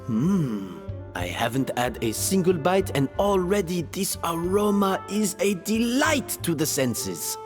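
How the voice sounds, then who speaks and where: A man playing a flamboyant food judge and contest announcer in a video game, theatrical and declarative, with drawn-out phrasing. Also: high-pitched, big pitch swings, big-room sound, faint music behind. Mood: delighted, showy.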